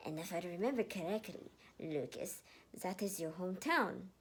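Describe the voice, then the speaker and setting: A teenage girl warrior commander, voiced by a woman reading a story, gives orders briskly. She sounds authoritative with a mischievous edge. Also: fairly low pitch, expressive, a little hiss or hum, natural voice. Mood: commanding. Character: mischievous, commanding sixteen-year-old female warrior commander.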